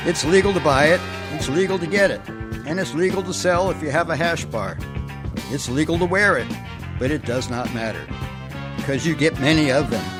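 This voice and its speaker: A man's voice: on the high side, brisk, natural voice. A man playing a chatty, worldly hitman in a stilted radio comedy. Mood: explaining. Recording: guitar music behind dialogue.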